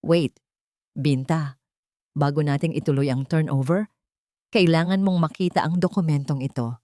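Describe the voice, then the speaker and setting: A woman plays an elderly company board member in an audio drama. The voice is low, grave and insistent, recorded very dry. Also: normal speed, dark tone, no echo, lightly acted. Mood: grave warning.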